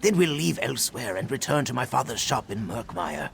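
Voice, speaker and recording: A man's voice: mid-range voice, big pitch swings, a little fast, creaky, slight background noise. A man voicing an anxious lizard-folk refugee in hiding, in fantasy video game dialogue. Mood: uneasy, explaining.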